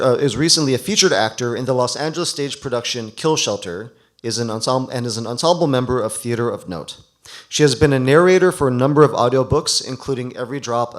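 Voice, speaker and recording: Male event host, mid-pitched, informal announcing at a live ceremony, slightly halting with self-corrections. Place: live event before an audience.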